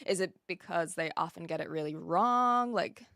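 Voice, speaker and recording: A woman's voice: lowish voice, moderate pace, fairly bright tone, dry recording, studio quality, voice pushed down. A woman putting on an American Valley Girl accent as a self-assured, college-educated young American with drawling, filler-heavy talk. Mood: casual, self-assured.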